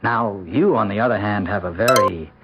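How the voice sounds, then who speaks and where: An actor playing a naive, bashful middle-aged suitor in a 1970s radio drama, hesitant and boyishly earnest. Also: male voice, on the low side, moderate pace, natural voice. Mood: chatty, eager. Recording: dull, noisy old radio broadcast.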